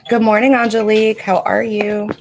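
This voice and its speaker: A woman hosting a livestream, reading oracle cards and chatting to viewers in a casual, reflective way, her throat scratchy and needing clearing. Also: mid-range voice, flat delivery, moderate pace, a little mellow.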